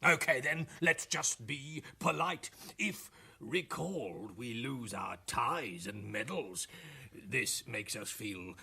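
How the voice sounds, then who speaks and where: A man's voice: mid-range voice, animated, slow pace, vocal fry, sharp tone, husky, exaggerated voice. A man playing a timid, self-pitying politician in a satirical radio drama, declaiming with mock woe. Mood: woeful.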